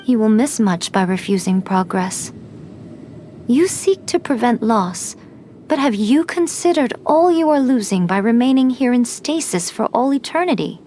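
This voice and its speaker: A woman voicing a sly, teasing immortal fox spirit shrine maiden in an anime fantasy game, with a silky, deliberate delivery. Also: fairly high pitch, animated, warm tone, a little hiss or hum, close to an everyday voice. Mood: gently probing.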